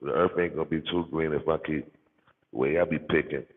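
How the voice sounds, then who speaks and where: An American man with a deep, bassy, gravelly voice chats casually in slang, rambling and repeating phrases for emphasis. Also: steady tone, quick pace. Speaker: content creator, livestream host. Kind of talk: casual rambling livestream chat. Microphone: microphone with bass turned up. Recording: boomy hall-like reverb, muffled narrow-band sound.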